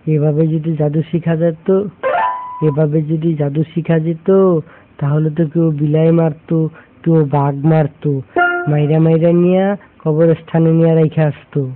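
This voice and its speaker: Guy playing a strict but kind cartoon teacher, bangladeshi accent, fairly high voice, music under muffled audio. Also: flat delivery, natural voice. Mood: admonishing. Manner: measured, questioning, admonishing. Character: stern but kindly male village schoolteacher. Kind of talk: cartoon dialogue.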